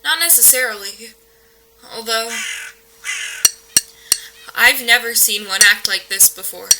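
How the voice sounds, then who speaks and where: A woman playing a brisk, earnest paranormal investigator who speaks urgently in a horror audio play. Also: fairly high pitch, very noisy. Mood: puzzled. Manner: urgent, matter-of-fact, know-it-all. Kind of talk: audio play acting, naturalistic horror dialogue.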